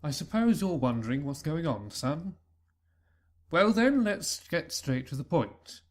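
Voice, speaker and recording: A man's voice: a little high, big pitch swings, unhurried, pitched above the performer's own voice. A man voicing a stern, worried father stallion who lectures his son, in a fan audio reading. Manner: earnest, lecturing.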